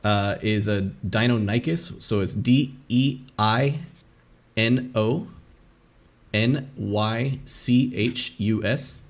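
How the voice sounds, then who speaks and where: A man narrating as game master of an online tabletop game, casual and halting, with many fillers. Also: lowish voice. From English-speaking country.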